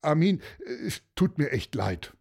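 A man's voice: on the high side, sing-song, measured pace, vocal fry, a little mellow, husky, pitched above the performer's own voice, exaggerated voice. A man voicing a kindly, flustered nutcracker seller in a children's story, hesitant and stumbling over his words. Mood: apologetic. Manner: hesitant, full of ums.

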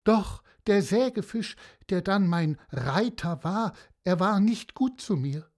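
Woman reading a storybook seahorse: slow, stammering fibber, sing-song sadness. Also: medium pitch, expressive, a little mellow, pitched above the performer's own voice. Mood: woeful. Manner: slow, hesitant, stammering with fillers. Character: rambling, woeful female seahorse telling tall tales. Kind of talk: storybook character voice.

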